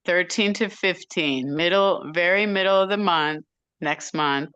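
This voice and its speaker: Low, smooth-voiced woman, dry close sound. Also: a little slow, a bit bright, dry recording, lo-fi sound. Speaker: farm owner, meeting participant. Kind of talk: casual introduction in a group meeting.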